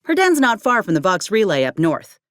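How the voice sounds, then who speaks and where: A woman's voice: medium pitch, wildly swooping pitch, brisk, dry recording, clean studio sound. Folksy Southern frontier woman, swinging intonation. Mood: matter-of-fact. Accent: Southern American. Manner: plainspoken, wry, folksy. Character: plainspoken, wry frontier woman, tough and observant companion. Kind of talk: video game companion dialogue.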